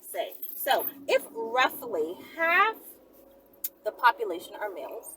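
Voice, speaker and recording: A woman host talking casually to her viewers in an emphatic, sassy way, often checking in as she goes. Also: on the high side. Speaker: channel host answering viewer questions. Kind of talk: casual, animated monologue to viewers. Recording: noisy, distant-sounding mic.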